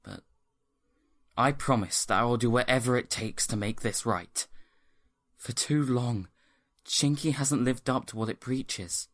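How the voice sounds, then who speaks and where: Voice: mid-range voice, measured pace, pauses often, lightly acted. A performer playing an earnest, guilt-ridden young agent in a fan audio drama, anxious but resolute, sighing and pleading. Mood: remorseful.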